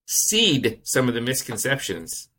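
A man's voice: mid-range voice, huge pitch swings, measured pace, bright, forceful tone. A man co-hosting a science podcast, introducing the guest and explaining things with enthusiasm. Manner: enthusiastic, explanatory, building up to a reveal. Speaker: podcast co-host.

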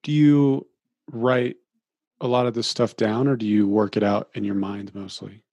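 A man with a low voice hosts a podcast interview. He asks short questions in a casual, loose way, using "like" often. His audio is dry with no room echo. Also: little pitch movement, unhurried, a little mellow, dull audio. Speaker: podcast host.

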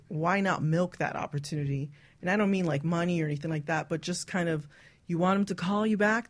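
A woman hosts a talk radio show in a slightly low-pitched voice. Her style is candid and conversational, whether she is chatting or reading a listener's story aloud. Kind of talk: candid casual chat, reading out listener stories.

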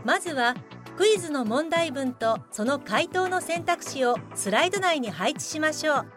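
A woman playing a polite explanatory narrator who presents scripted software tutorials in a radio drama. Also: sits high, animated, moderate pace, over music. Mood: helpful. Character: bright instructional narrator of software tips.

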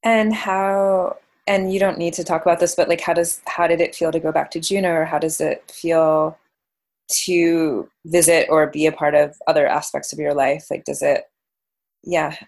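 A woman asks interview questions in a lowish voice with plenty of vocal fry. Her style is casual and gentle, and she tends to rephrase tentatively. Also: flat delivery, moderate pace.

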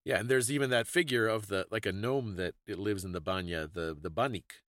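A man with a fairly low voice talks as a podcast co-host, explaining folklore conversationally. His phrasing is thoughtful and a little halting, and the sound is dry with very little room. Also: a bit bright, no echo.

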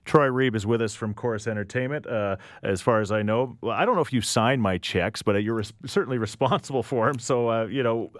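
A man's voice: fairly low pitch, dry recording, studio quality. A man in his forties with a Canadian accent, chatting in a casual, rambling way as a radio interview host. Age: 40s. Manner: chatty, rambling, repeats words as he gathers his thoughts. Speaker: radio morning show host.

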